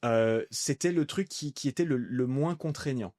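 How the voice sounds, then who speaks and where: A man's voice: mid-range voice, normal speed, a little mellow, no echo, clean studio sound. A man in his thirties from France, a guest on a podcast, talking casually and rambling with lots of fillers and restarts. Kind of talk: casual conversational interview.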